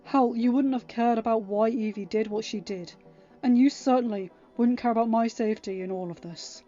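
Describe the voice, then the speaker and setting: A woman voicing an earnest, protective young king, speaking gently but emphatically to reassure someone in a story. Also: fairly high pitch, moderate pace, muffled recording, soft background music, natural voice. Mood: firm, reassuring.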